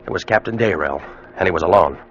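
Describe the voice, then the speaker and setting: An old radio adventure, muffled, with music clearly behind the voice. a doomed American sailor with a low voice, telling his story in a grave, haunted, measured way. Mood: haunted, tense. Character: weakened, fearful American sailor recording his doom. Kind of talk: first-person radio drama narration. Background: dramatic music.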